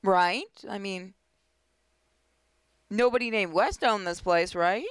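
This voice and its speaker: A woman's voice: medium pitch, slow, clean tone, dry recording, clean studio sound. A woman hosting a gaming video, reading visual novel text aloud expressively with casual commentary.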